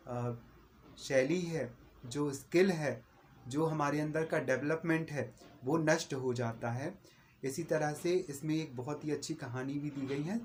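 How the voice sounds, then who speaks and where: A man's voice: a little high, darkish tone, slight background noise. A Hindi-speaking man giving an earnest, repetitive book recommendation in a casual video review.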